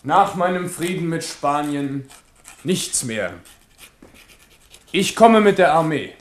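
An actor playing a confident, shrewd French king in a 1970s radio drama, speaking lively and commanding. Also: on the high side, takes it slowly, reverberant.

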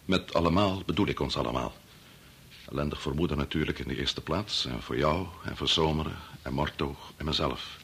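A man's voice: a bass voice, a little fast, fairly bright tone, breathy edge, heavily acted. An actor playing a middle-aged, preoccupied and domineering doctor and father in a Dutch radio drama, muttering or curt. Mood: grave, strained. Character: preoccupied, domineering male doctor-scientist, father of a grown son.

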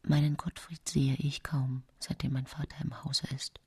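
An actress playing a secretive nineteenth-century woman poisoner who tells her own story in hushed, composed radio drama narration. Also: extremely low pitch, flat delivery, moderate pace, slightly rough, dry recording, studio quality, full character voice. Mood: cool, hushed. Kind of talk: intimate first-person radio drama narration.

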